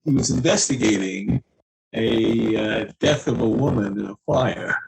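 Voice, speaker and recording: A man speaking as a guest author, casually explaining his own fiction in a halting, explanatory way. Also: fairly low pitch, creaky, background noise.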